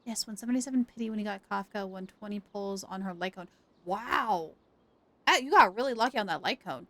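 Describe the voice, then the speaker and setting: A woman chatting casually on a game livestream, reacting in an animated, exclamatory way. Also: mid-range voice, a little fast, no room sound. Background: game music.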